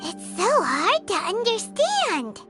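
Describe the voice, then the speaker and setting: A woman voicing a tiny, childlike fairy companion in an anime-style video game, squeaky, eager and chirpy. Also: huge pitch swings, slow, exaggerated voice. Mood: concerned, puzzled. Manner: refers to itself in the third person, exclaiming. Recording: loud music behind the voice. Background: loud game music.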